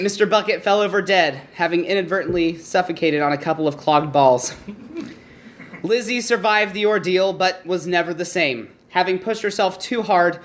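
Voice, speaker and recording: Someone reading a dirty comedy story live, middling pitch, bit of room noise. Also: a bit bright. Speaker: story reader. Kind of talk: comedic erotic story reading before an audience. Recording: noisy live room recording.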